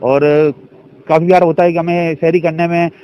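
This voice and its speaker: A man giving an unscripted interview answer as a field worker, with halting, repetitive phrasing. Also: fairly high pitch, little pitch movement, mellow. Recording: dull field recording, faint vehicle noise.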